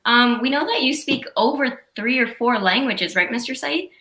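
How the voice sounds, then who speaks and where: A woman's voice: a little high, lively intonation, normal speed, bright, forceful tone. A woman interviewing a guest, starting her questions with "um". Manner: filler "um" before questions. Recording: echoey room.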